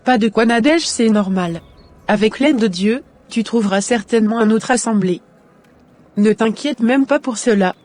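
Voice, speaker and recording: A woman's voice: on the high side, moderate pace, darkish tone, close to an everyday voice. A woman playing firm advising friend Hermine. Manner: firm, caring advice.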